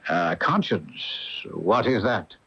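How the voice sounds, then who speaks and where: An actor playing a curious, formal being from another dimension in a radio mystery drama, with puzzled, precise speech on an old AM radio recording. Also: male voice, deep voice, very animated, takes it slowly, fairly bright tone, breathy edge, exaggerated voice. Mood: wondering. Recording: dull lo-fi 1980 AM radio.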